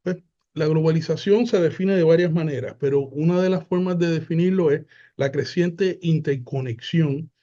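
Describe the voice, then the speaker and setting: A man, presenting a webinar in an unhurried way with frequent hesitant fillers over a video call. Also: a little high, mellow, highs cut off. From Puerto Rico.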